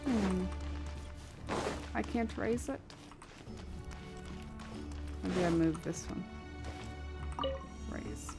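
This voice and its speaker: A woman's voice: medium pitch, very slow, gravelly, pauses often. A woman game streamer chatting casually as she plays, rambling and thinking aloud. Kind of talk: casual livestream gameplay chat. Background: game music.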